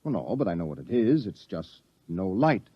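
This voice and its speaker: Young male reporter: incredulous, jumpy, expressive pitch swings, vintage radio drama, dull recording. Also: normal speed, breathy edge, no echo, full character voice. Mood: puzzled. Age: young adult. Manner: questioning, incredulous. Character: skeptical young male reporter, easily unnerved. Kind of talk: old radio drama acting.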